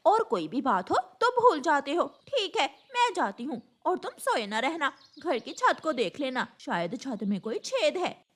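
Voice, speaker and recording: Vain female crow, voiced by a woman, high pitch, swooping intonation, bossy, theatrical, mischievous cartoon villain. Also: very animated, a little slow, a bit bright, heavily acted. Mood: scolding. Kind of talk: Hindi storytelling cartoon voice acting.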